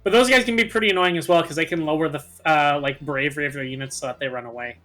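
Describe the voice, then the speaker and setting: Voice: medium pitch, very fast, a bit bright. A player chatting casually with friends during a game, explaining things in a matter-of-fact way. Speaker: gaming commentator and player. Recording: game music under the voice. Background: video game music.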